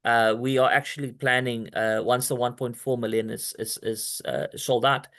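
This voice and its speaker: A man's voice: mid-range voice, little pitch movement, moderate pace, a bit bright. Male host on a video call, casual and rambling, many restarts. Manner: rambling, restarts often, talks straight to the listener. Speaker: company presenter hosting a video call.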